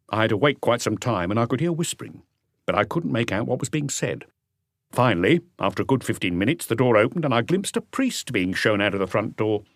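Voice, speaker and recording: A man reading scripted literary narration for an audiobook in a measured, restrained manner. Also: on the low side, no room sound, studio quality.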